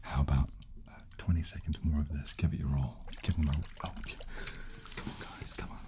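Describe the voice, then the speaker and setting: A man speaks in a very low, whispery voice, hushed and close to the mic. He hosts a gentle ASMR chat, feeling politely and haltingly for his words. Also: fairly even intonation. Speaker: host of an ASMR channel. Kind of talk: soft ASMR chat with guests. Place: small furnished studio room, indoors. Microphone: close desk condenser microphone.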